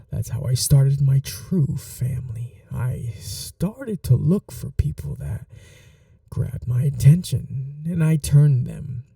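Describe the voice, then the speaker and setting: A man voicing an obsessive, possessive 500-year-old male vampire in an ASMR roleplay, talking in soft, slow whispers. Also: mid-range voice, gravelly, no echo, clean studio sound, far from the mic.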